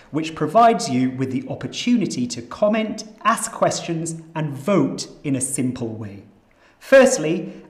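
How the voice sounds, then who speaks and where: A Yorkshire-accented host runs through event housekeeping in a steady mid-pitched voice. The delivery is scripted but dotted with light self-deprecating jokes. The voice carries a noticeably roomy, echoing sound. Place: nature reserve headquarters.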